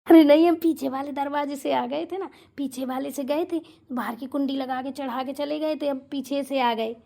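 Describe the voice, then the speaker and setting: A high-pitched, bossy mother-in-law from a family comedy cartoon, acted by a woman. She dotes on her daughter and fires indignant, scolding questions at everyone else. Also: steady tone, moderate pace, vocal fry, warm tone. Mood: fussy, affectionate.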